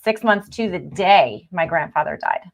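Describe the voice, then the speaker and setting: A woman's voice: fairly low pitch, animated, moderate pace, vocal fry. A woman co-hosting a podcast in casual conversation. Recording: slightly dull, muffled audio.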